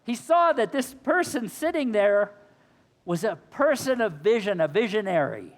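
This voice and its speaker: Male minister, high voice, a bit hoarse, earnest sermon in a long-reverb church. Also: big pitch swings, normal speed. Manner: earnest, rhetorical questions to the congregation. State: slightly hoarse. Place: church sanctuary.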